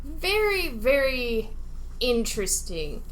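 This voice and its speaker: Higher-voiced woman co-hosting a podcast. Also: sing-song, slow pace, a little hiss or hum, low-quality recording. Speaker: podcast co-host. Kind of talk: casual podcast chat about video games.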